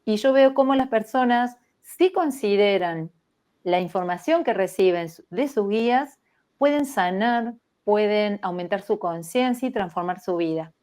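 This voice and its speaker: A woman, telling her story as a podcast guest in a reflective, measured way that livens up when she quotes herself. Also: medium pitch, expressive, a little mellow. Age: 60s. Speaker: spiritual therapist guest.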